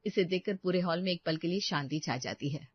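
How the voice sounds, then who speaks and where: Lady reading out a story, lowish voice, bit dull and very dry recording. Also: fairly quick, slightly rough, no room sound. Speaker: narrator. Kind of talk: scripted storytelling narration.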